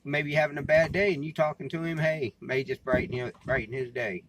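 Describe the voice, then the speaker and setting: A man's voice: a little high, steady tone, a little fast. Folksy American man, noisy recording. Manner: folksy, plain-spoken, repeats phrases. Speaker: vlogger and CB radio hobbyist. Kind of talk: casual rambling talk to camera. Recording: clearly noisy background, amateur recording.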